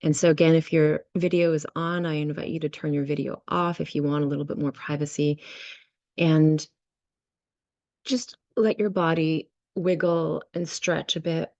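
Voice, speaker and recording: Low, mellow-voiced woman guiding a meditation. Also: fairly even intonation, no echo, dull audio. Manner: gentle, unhurried, with many pauses between short phrases. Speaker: guided meditation facilitator. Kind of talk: guided visualization and meditation. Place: online video session.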